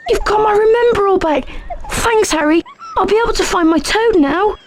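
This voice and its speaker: A woman's voice: very high voice, moderate pace, slight background noise. A woman playing an anxious, timid, forgetful young schoolboy in a video game. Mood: relieved. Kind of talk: video game character acting.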